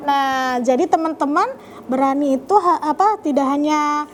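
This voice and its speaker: A woman with an extremely high voice tells a story to children. She addresses her young audience directly with questions and exclamations, over faint music. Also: moderate pace, a little hiss or hum.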